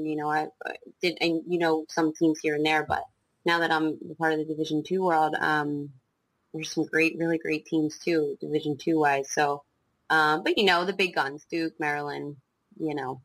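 Low-voiced young woman, dry close mic. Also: level tone, normal speed, a bit bright, no echo, rough recording. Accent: New Jersey. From South Jersey, USA. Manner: hesitant, many ums and restarts. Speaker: guest lacrosse coach. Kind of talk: casual interview chat.

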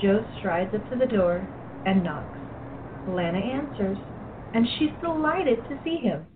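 A woman's voice: medium pitch, lively intonation, measured pace, noisy background. A woman reading scripted narration for a radio play. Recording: tinny phone-like sound, faint music behind.

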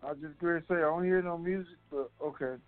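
Dull-sounding call line, higher-pitched man. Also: steady tone, quick pace, soft background music. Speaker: conference call host. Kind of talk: informal monologue to call listeners.

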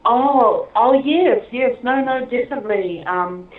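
Laughing woman on a tinny echoey line. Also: a little high. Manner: chatty and halting, many fillers and laughs. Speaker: film director being interviewed. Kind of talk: casual interview conversation.

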